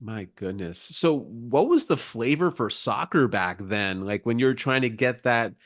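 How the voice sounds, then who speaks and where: Male podcast interviewer. Very lively pitch, mid-range voice, informal and curious. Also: a little slow. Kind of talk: casual conversational interviewing.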